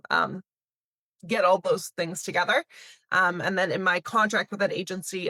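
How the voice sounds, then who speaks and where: Chatty Canadian-raised woman, low and dry. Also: creaky, fairly bright tone, no echo. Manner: chatty, many fillers and restarts, laughs lightly. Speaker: talent agency CEO. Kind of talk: casual interview answers.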